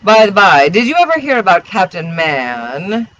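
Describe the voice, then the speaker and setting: Man doing an affected, drawling gentleman gossip. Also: high voice, sing-song, lo-fi sound. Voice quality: drawling, drawn-out syllables. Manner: affected, hesitant, rambling.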